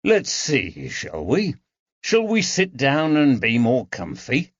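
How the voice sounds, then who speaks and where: Man reading a kindly constable, soft coaxing questions, animated pitch, dry dull sound. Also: slow pace, husky, no echo, full character voice. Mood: gentle. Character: gentle, patient male police constable. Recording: dull, dry audiobook recording.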